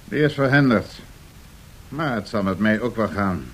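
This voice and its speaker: A man's voice: lowish voice, lively intonation, moderate pace. A man acting a stern, uncompromising colonel turned business executive in a Dutch radio drama, curt and formal. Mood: cold, accusing.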